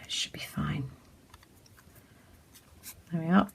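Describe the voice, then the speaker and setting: A woman from the UK giving a casual craft tutorial as she works, chatty and full of ums, her voice a little croaky. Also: low-pitched, slow pace, heavy background noise. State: slightly croaky. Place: indoors at a craft table.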